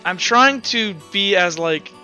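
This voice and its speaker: A young man with a high, bright voice runs a tabletop roleplay game. He talks casually, halting and restarting often, with faint music playing behind him. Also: animated. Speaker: game master.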